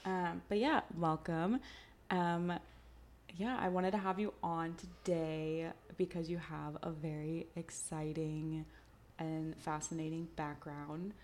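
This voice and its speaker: A woman's voice: low voice, fairly even intonation, slow, a little mellow, a little hiss or hum. A woman hosting a podcast, chatting casually with plenty of "like" and "um.". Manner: chatty, upbeat, lots of likes and ums. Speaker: podcast host.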